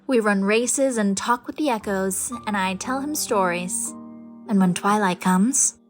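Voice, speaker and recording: A woman voicing a gentle, wistful middle-aged lady in a storybook reading, dreamy and tender in manner. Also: medium pitch, unhurried, faint music behind, natural voice. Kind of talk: dramatized audiobook character reading.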